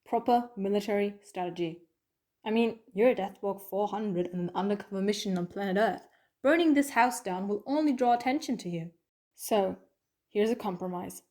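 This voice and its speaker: Clever, coaxing heroine female performer, mid-pitched, conversational and teasing, for an audio drama about an alien traveller. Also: moderate pace, warm tone, natural voice. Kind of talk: fan audio drama acting.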